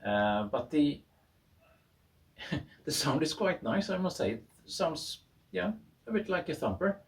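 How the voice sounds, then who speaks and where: A man's voice: on the high side, big pitch swings, takes it slowly. Man explaining casually, distant from the mic. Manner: hesitant, thinking aloud. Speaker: garage tinkerer presenting own experiment. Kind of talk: informal explanatory video talk. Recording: voice far from the mic.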